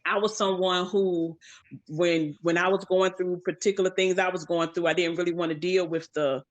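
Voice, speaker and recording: A woman's voice: on the low side, steady tone, moderate pace. A woman hosting a live stream talks casually and candidly, filling her speech with "you know.". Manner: conversational, with many "you know" fillers. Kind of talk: casual chat.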